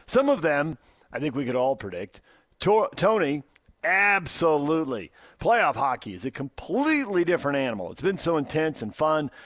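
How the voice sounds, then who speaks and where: A man's voice: a little high, no echo. An American man hosting a conversational sports talk radio show.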